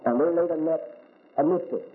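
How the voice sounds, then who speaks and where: High-voiced American man lecturing on faith. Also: moderate pace. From United States. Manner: rhetorical questions, repeats key words. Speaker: Islamic teacher. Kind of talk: religious lecture. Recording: tinny phone-like recording with faint hiss.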